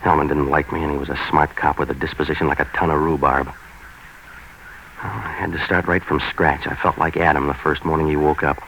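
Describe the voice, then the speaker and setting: An actor playing a hard-boiled, wisecracking private operator in a deadpan, sardonic, clipped 1940s radio noir style. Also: male voice, a bass voice, brisk, creaky, dull audio, exaggerated voice. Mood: deadpan, brooding. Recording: noisy vintage 1940s radio recording.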